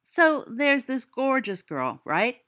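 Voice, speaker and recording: A woman's voice: sits high, sing-song, takes it slowly, fairly bright tone, pitched above the performer's own voice, exaggerated voice. A woman voicing a cocky teenage boy who tells a spooky story in a theatrical, teasing way.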